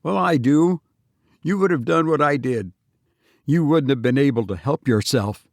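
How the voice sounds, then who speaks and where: A man's voice: medium pitch, moderate pace, dry recording, studio quality, pitched above the performer's own voice, lightly acted. A man voicing a big, fear-haunted adventurer in an audiobook story, defiant and tormented, with agitated bravado. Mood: mocking bravado. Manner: mocking bravado breaking into agitated stammering.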